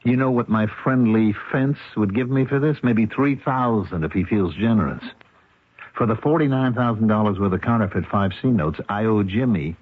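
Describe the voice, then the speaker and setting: An actor playing a gruff, domineering male con artist with condescending, slangy tough-guy talk in a radio drama. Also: lowish voice, moderate pace. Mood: scheming, scornful. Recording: muffled, phone-like old radio recording.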